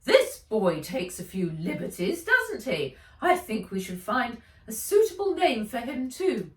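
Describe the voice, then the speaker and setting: A woman acts out a haughty storybook grumbler, indignant and emphatic. The voice jumps up high and loud, ringing in an echoey room. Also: huge pitch swings, a little slow. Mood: indignant grumbling. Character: haughty, grumbling, complaining companion named Fred. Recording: echoey room, distant from mic.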